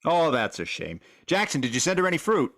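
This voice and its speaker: An actor playing a brash, dim, breezy bandleader with slangy talk in a 1940s radio comedy. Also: male voice, fairly high pitch, big pitch swings, a little fast, a bit bright. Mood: breezy, teasing. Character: brash, dim-witted wisecracking bandleader pal.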